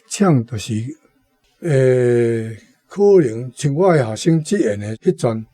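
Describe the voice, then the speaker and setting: A man's voice: mid-range voice, dark tone, husky, dry recording. A man with a Taiwanese accent giving casual spoken commentary as a guest.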